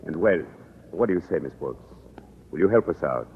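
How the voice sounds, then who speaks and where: A courtly, deep-voiced Frenchman. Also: animated, brisk. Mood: earnest. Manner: broken English, courtly and earnest. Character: amorous middle-aged Frenchman in America. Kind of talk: 1950s radio sitcom acting. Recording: tinny hissy old radio broadcast.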